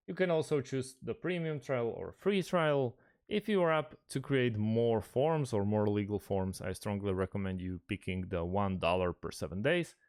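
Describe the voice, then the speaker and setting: A man presenting a step-by-step screen tutorial, speaking haltingly with pauses mid-phrase. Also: on the low side.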